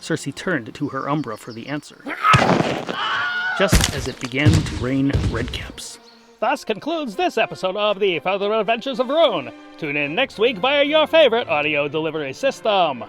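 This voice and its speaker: A man's voice: a little high, sing-song, moderate pace, music in the background. A man narrating a scripted comic fantasy tale as a wry, dramatic storyteller.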